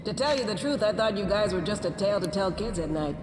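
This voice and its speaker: A woman's voice: medium pitch, lively intonation, quick pace, lightly acted. An actress playing an earnest, plain-spoken woman adventurer in a fantasy video game. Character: earnest female adventurer pleading for help. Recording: echoey hall, music behind.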